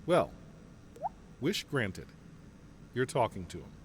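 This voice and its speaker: Male actor as a composed general, lively inflection, steady authority; audio drama. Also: medium pitch, takes it slowly, slight background noise. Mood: commanding. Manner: calm, assured commanding tone. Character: composed male general leading a mercenary company. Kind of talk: fan audio drama acting.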